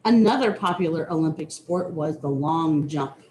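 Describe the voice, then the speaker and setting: A woman reading a children's nonfiction book aloud with deliberate, teacherly phrasing. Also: low-pitched, animated, a little mellow, a little hiss or hum, low-quality recording. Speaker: reader.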